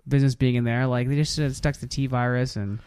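A man's voice: mid-range voice, flat delivery, fast talker, a little mellow, clear, resonant tone, no room sound. A man hosting a podcast in casual chat, giving opinionated, loosely worded reviews. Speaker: podcast host. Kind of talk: casual chat, reviewing video game movies.

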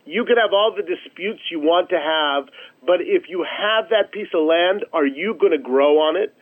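A man's voice: high-pitched, normal speed. A man being interviewed as an organization's leader, speaking emphatically and persuasively with rhetorical questions and repetition. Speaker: interview guest, nonprofit chief executive. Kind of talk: radio interview, persuasive storytelling. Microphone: telephone. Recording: tinny, narrow-band telephone line.

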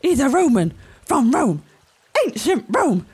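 An actress playing a sharp-tongued cockney woman from wartime London who has become a spy, sarcastic and loud. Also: high voice, sing-song, unhurried, husky, full character voice. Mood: exasperated.

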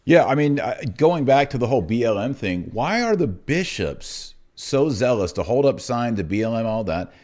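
A man with a mid-pitched voice hosts a religious talk podcast. His delivery is conversational but argumentative, with animated intonation that rises and falls widely. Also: moderate pace, few pauses. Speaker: podcast host.

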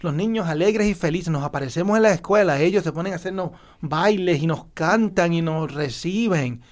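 Man, from Puerto Rico, slightly high voice, enthusiastic, repetitive, word-searching. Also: moderate pace. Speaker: guest sharing travel experience. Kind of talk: casual group conversation.

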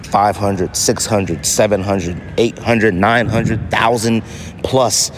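A man's voice: fairly low pitch, fairly even intonation, slow pace, a little hiss or hum. A man hosting a casual solo podcast, talking emphatically and repeating phrases to drive them home. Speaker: podcast host.

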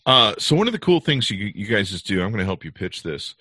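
A man's voice: lowish voice, very animated, brisk, pushed and bright, husky, no room sound. A man hosting a podcast, talking casually and rambling with many fillers and restarts. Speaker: podcast host. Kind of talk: casual interview chat.

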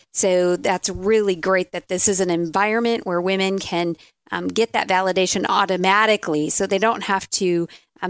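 A woman's voice: mid-range voice, smooth, no room sound. A woman co-hosting a faith-based radio talk show, affirming and chatty in her replies. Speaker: radio co-host.

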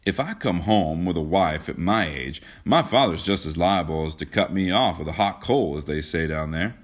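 A man's voice: low-pitched, animated, moderate pace. A man voicing an earnest, romantic young man in a storybook reading. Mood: gloomy.

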